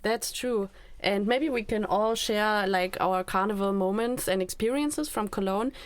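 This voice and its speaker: A woman's voice: mid-range voice, unhurried, smooth, dry recording. A young woman co-hosting a student podcast in a conversational style, like an informal guide. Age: young adult. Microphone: close podcast microphone.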